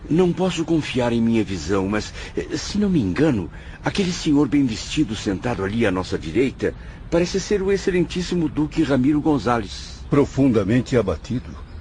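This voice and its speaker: An actor playing a humble, saddened apprentice spirit in a radio drama, speaking earnestly with some hesitation. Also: male voice, medium pitch, very animated, fairly quick, dark tone, slight background noise, lightly acted. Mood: troubled.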